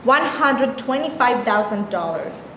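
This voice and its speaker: A woman's voice: on the high side, animated, unhurried. A woman playing a naive, anxious, jobless young woman in an amateur staged play reading, earnest and plaintive. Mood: rueful. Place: echoey room, staged reading. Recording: echoey room, clear background noise.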